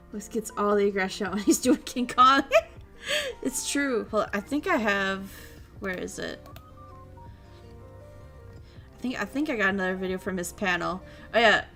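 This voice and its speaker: A woman's voice: medium pitch, a little slow, long pauses, pushed and bright, soft background music. A young woman streaming a casual chat, animatedly retelling stories, laughing often and answering her chat. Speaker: VTuber streamer.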